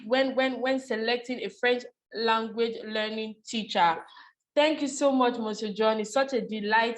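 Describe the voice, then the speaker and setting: Bubbly woman hosting a webinar. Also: a little high. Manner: bubbly, laughs often, warm thanks. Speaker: webinar host. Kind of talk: hosting, thanking and introducing speakers. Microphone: video call.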